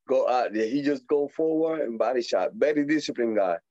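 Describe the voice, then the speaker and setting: A man in his forties from Puerto Rico, with a Puerto Rican Spanish accent, speaking as a guest in a casual interview, animated, laughing often, with halting English. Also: mid-range voice, no room sound, muffled recording. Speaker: boxer guest.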